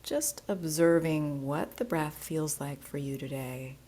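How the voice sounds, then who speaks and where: Slow, low-pitched woman guiding relaxation. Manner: soothing, gentle, unhurried instruction. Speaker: yoga nidra teacher. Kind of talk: guided relaxation meditation.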